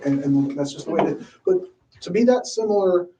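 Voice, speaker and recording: A male police detective questioning a suspect in a measured, explanatory way during an interrogation. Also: high voice, expressive, mellow, slight background noise. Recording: echoey interview room, distant mic.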